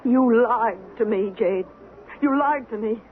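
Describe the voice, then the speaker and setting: Muffled old radio play, woman acting bitter long-suffering wife, high voice, dramatic, reproachful. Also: a little slow, close to an everyday voice. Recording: muffled, hissy vintage radio recording.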